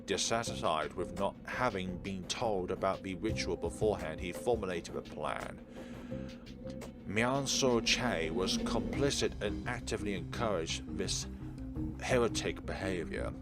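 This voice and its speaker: A man's voice: sits low, unhurried. A man hosting a game playthrough, reading visual novel text aloud and breaking into offhand asides. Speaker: gaming video host. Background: prominent game music.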